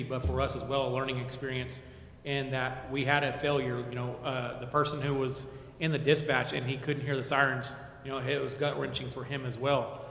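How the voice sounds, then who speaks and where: A man making an earnest, measured public statement as a civil servant, often restating his points. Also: medium pitch, fairly bright tone, slight background noise. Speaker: civil servant addressing a council. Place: large indoor council chamber. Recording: echoey council chamber.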